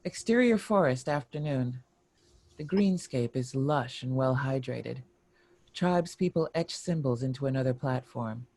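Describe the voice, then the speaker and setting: A man reading scripted screenplay stage directions in a measured, descriptive narration. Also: mid-range voice, expressive, darkish tone.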